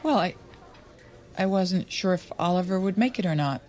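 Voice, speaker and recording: A woman's voice: fairly low pitch, lively intonation, moderate pace, darkish tone, lightly acted. A woman playing a chatty, tactless friend in a naturalistic audio drama, stammering as she backpedals. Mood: awkward, backpedalling.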